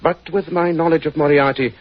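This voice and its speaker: An actor playing a shrewd, commanding English gentleman detective in a 1940s radio drama, speaking precisely and with authority. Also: on the high side, steady tone, fairly quick, natural voice. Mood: courteous, concerned. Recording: dull, noisy 1940s radio recording.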